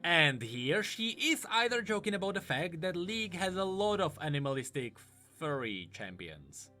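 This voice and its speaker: A man's voice: a little high, very animated, moderate pace, sharp tone, soft background music. A man giving scripted explanatory commentary in the style of a video narrator. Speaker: lore explainer.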